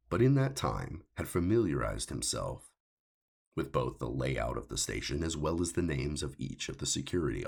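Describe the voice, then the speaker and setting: A man reading his own science fiction story as scripted audiobook narration, in a measured, deliberate way with a gravelly, husky voice. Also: rumbling low.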